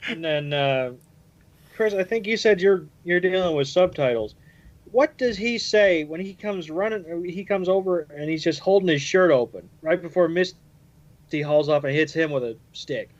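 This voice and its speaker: A man with a fairly high voice on a slightly dull, lo-fi recording. He chats and jokes casually as a podcast panelist, blunt and quick to swear. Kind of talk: casual joking chat.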